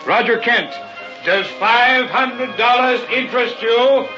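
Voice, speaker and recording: High-pitched carnival hypnotist showman. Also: takes it slowly. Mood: pushy, smooth. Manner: grandiose showman patter, insistent. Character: slick theatrical carnival hypnotist professor, male, coaxing a crowd. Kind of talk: old-time radio drama acting. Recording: muffled vintage radio recording with music.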